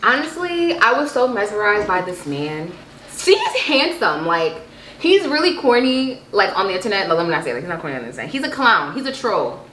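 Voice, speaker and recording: A woman in her twenties chatting casually and telling stories in a playful, animated, slangy way. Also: medium pitch, brisk. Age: 20s. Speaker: vlog guest telling stories with sibling. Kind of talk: casual chat, storytelling. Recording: echoey room with background noise.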